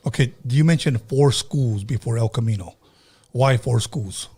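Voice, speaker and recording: A man's voice: mid-range voice, normal speed, darkish tone, breathy edge. A man in his fifties with a Chicano Californian accent, a native Spanish speaker, hosting a casual interview in blunt, slangy talk with bits of Spanish. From California, United States. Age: 50s. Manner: blunt, informal, sprinkles in Spanish. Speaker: radio podcast host.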